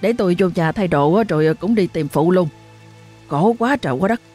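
A woman's voice: lowish voice, lively intonation, warm tone, husky, soft background music. A woman voicing a jovial middle-aged village man, a kindly neighbor. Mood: worried. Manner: teasing, then worried and hurried.

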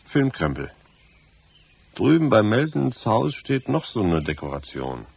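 An actor playing a lonely, hard-drinking cabin caretaker in a German radio drama, blunt and colloquial with a gravelly voice. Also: male voice, on the low side, big pitch swings, unhurried, darkish tone, no echo, full character voice. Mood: gruff, sociable. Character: lonely, hard-drinking limping male cabin caretaker, rough and plain-spoken.

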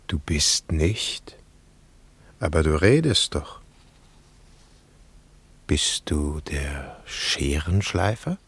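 An actor playing a brooding man searching for a murderer in a poetic radio play, speaking in a measured, solemn, questioning way. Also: a bass voice, animated, a bit bright, husky, full character voice. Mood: wary, questioning. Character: brooding, searching mourner hunting a child's murderer.

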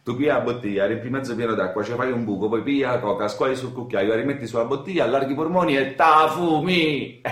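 An actor playing a laid-back, cocky young Roman drug user and boyfriend in a gritty dialect radio drama, bantering in slang. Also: male voice, mid-range voice, fairly even intonation, brisk, a bit bright, reverberant, natural voice. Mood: cocky, affectionate. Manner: slangy, teasing, defensive banter.

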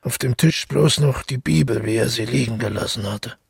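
A male actor playing a brooding, self-doubting former stage actor in a radio drama monologue, musing haltingly in a husky, breathy voice. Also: medium pitch, no echo, studio quality, heavily acted. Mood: wistful recollection. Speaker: narrator and lead of a radio play. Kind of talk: intimate first-person radio drama monologue.

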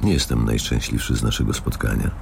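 An actor playing a cold, menacing elderly company boss in a radio drama, gravelly and coolly formal, deliberate and controlling. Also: male voice, a bass voice, steady tone, slight background noise, heavily acted. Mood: curt, commanding. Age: older.

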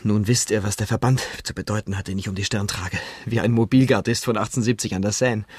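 An actor in a radio drama playing a feverish, melodramatic young bohemian vagabond who speaks with solemn, pleading theatricality. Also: male voice, lowish voice, steady tone, fast talker, slightly rough, dry recording. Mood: urgent, confiding.

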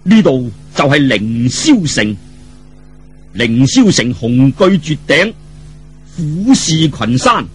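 Animated Cantonese storyteller man, crisp lively swings. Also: medium pitch. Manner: colloquial, dramatic, with interjections and asides to the listener. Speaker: audiobook storyteller. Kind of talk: traditional Cantonese storytelling narration of a martial arts novel.

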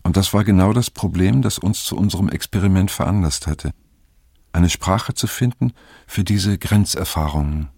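An actor playing a reflective man who narrates his memories in a radio drama, measured and solemn, with a low creaky voice. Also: a little mellow, no echo, clean studio sound, full character voice. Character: reflective male narrator recalling a past LSD experiment.

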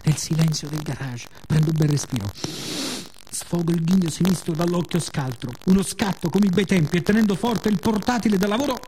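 A man telling a story as a radio theatre monologue, expressive, in short phrases with dramatic pauses. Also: sits high, a little hiss or hum. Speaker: author and performer of a monologue.

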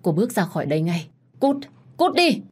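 A woman voicing a furious, scornful middle-aged wife and mother, shouting accusations in a dramatic story reading. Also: on the low side, very animated, brisk, breathy edge. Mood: scornful rage.

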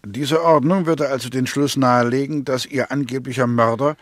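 Man playing a sober prosecutor in a 60s german radio drama, medium pitch, very dry room, formal and methodical, keeps probing. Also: fairly even intonation, normal speed, dry recording, lightly acted. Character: sober, persistent male prosecutor, coolly probing. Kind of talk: 1960s German radio play acting.

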